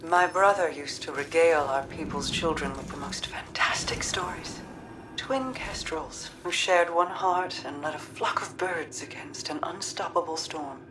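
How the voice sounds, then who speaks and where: An actress playing a weary, regal queen in a video game, reflective and grieving, telling things in a measured, storytelling way. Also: low-pitched, recorded from a distance, background noise. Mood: wistful, weary. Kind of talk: dramatic video game dialogue.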